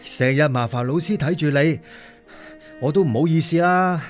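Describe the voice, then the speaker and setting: A man's voice: fairly high pitch, normal speed, soft background music, lightly acted. A man acting as a hardworking, devoted father in a radio drama, apologetic and hesitant, with a Hong Kong Cantonese accent. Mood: apologetic, hurried.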